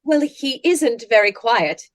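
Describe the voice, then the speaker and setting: High-pitched nagging wife. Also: female voice, expressive, takes it slowly. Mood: concerned, penny-pinching. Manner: complaining, needling. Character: nagging, penny-pinching, exasperated wife. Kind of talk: old-time radio comedy acting.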